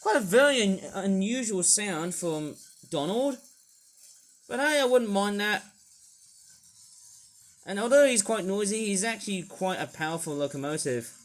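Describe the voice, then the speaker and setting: A man's voice: sits high, animated, unhurried, pauses often. A young man casually reviewing a toy train set, rambling and apologetic, with many fillers.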